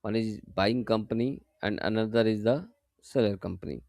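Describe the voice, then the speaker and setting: A man narrating a step-by-step software tutorial in a plain, methodical, explanatory way. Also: lowish voice, moderate pace, vocal fry, no room sound, clean studio sound. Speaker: software instructor.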